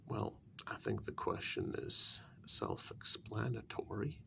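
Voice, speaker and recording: Man acting a soft-spoken sly kidnapper, very gravelly. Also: fairly low pitch, wildly swooping pitch, slight background noise, heavily acted. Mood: probing, grudgingly impressed. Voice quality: soft, breathy, often half-whispered. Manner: slow, halting, conversational, wryly sarcastic. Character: man kidnapper, sly, smug, taunting his captive. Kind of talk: ASMR role-play, soft-spoken monologue.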